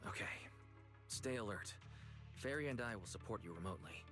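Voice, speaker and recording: An actor voicing a calm, cautious, analytical young man in video game story dialogue. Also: lowish voice, fairly quick, close to an everyday voice. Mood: calm, concerned. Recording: game music behind.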